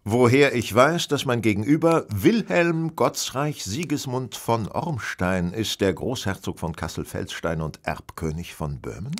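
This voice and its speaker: An actor playing a cool, self-assured master detective in a German radio play, precise, clipped and sardonically courteous. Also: male voice, on the low side, lively intonation, a little slow, hardly any pauses. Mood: cool, superior.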